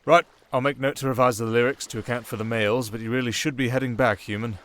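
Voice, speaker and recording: A man with a British accent playing a sardonic, softly dangerous male siren in an ASMR roleplay, with dry, theatrical sarcasm. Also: mid-range voice, steady tone, fast, natural voice. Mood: sardonic, wary.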